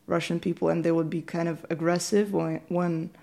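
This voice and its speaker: Teenage Ukrainian woman, gravelly voice. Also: fairly low pitch, little pitch movement, normal speed, mellow. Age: teens. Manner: hesitant, many fillers and restarts. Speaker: student interviewee. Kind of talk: casual interview answers.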